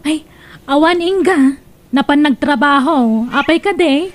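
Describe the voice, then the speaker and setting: A woman's voice: sits high, a little slow, slight background noise. A woman playing a plain-spoken, chatty younger neighbour in an Ilocano comedy radio drama. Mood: chatty, explaining.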